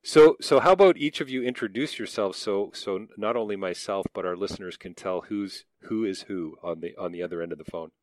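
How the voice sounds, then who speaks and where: Radio host, male, very low voice, dry studio sound, animated and chuckling. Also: fairly quick, hardly any pauses, no room sound. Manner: energetic, laughing, repeats words as he starts. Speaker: radio interviewer. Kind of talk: radio interview.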